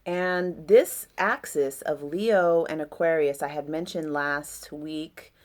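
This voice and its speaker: Woman, deep low voice, casual astrology talk, rambling, reflective. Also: fairly even intonation, slow pace, a little mellow. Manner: rambling, reflective, many fillers.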